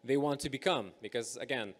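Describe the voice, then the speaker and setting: A man moderating a live panel discussion on stage, upbeat and energetic, with many filler sounds. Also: mid-range voice, fairly quick. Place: event stage.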